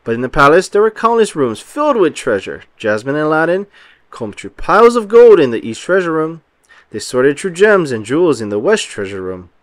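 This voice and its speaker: A man narrates a children's picture book with animated emphasis. His voice sits fairly high and swings widely in pitch. The sound is close and dry. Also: no echo. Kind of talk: expressive scripted storybook narration.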